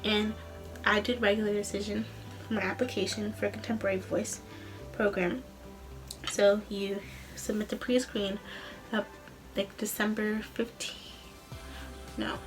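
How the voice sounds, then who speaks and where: A young woman chats informally in the manner of a personal vlog. Her pitch sits in an ordinary middle range for a female voice, and she rambles casually through her account. Music plays clearly behind her. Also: poor audio. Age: young adult. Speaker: vlogger, student singer.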